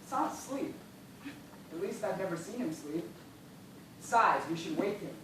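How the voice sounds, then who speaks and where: Stage drama: man as parched gentleman castaway, bitter, impatient, theatrical, heard far off in a very reverberant hall. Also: high voice, expressive, moderate pace. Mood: suspicious, weary. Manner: formal, theatrical, bitter delivery. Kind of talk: amateur one-act stage play acting. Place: indoor theatre stage, recorded from the audience distance. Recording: very echoey noisy hall, far away.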